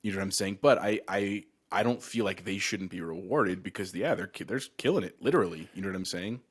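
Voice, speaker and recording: Deep-voiced easygoing man chatting games. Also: fast, breathy edge. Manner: easygoing, self-deprecating, many fillers. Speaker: podcast co-host. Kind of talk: casual gaming podcast chat.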